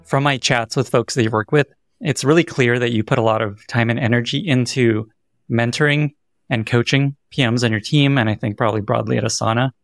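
A man's voice: medium pitch, fairly even intonation, brisk, dry recording, clean studio sound. A man hosting an interview podcast, asking questions in a conversational way. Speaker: podcast host.

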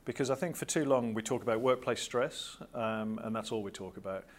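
A man's voice: fairly low pitch, brisk. A man, a guest and social enterprise founder in a podcast interview, speaking fluently and persuasively.

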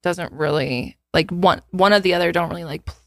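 A woman of twenty-seven chatting casually and candidly as a podcast co-host, informal and quick to swear. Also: on the low side, no echo, studio quality. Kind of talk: casual chat answering listener questions.

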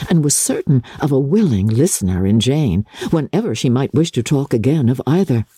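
A woman reading literary narration in a measured, formal and expressive dramatic style. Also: extremely low pitch, husky, no room sound, studio quality.

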